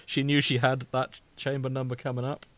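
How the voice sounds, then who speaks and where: A man's voice: medium pitch, monotone, a little fast, fairly bright tone. A British man co-hosting a podcast in a casual, comedic chat, amused and prone to giggling. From Britain. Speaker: podcast co-host.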